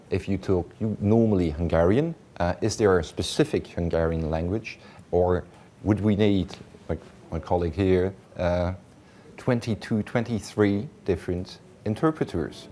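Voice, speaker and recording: Very deep-voiced male interviewer. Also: moderate pace, warm tone, a little hiss or hum. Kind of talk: formal interview questions.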